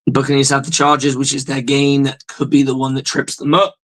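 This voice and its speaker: Hoarse male fan host, swears freely, rambles through a casual postgame rundown. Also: mid-range voice, monotone, fairly quick, fairly bright tone. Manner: blunt, profane, rambling fan talk. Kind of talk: casual sports reaction monologue.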